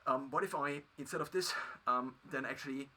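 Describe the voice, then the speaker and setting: A man with a German accent, a native German speaker, talking through his past as a video creator in a halting solo monologue full of restarts. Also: medium pitch, pushed and bright, slight background noise. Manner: halting, many restarts and fillers.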